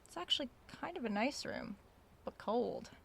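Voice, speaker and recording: An actress playing a curious, self-aware researcher in an audio drama, recording a spoken log in a conversational, measured way. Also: female voice, on the high side, very animated, creaky, a little hiss or hum, heavily acted. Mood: musing, casual. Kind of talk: audio drama log, reading aloud and musing.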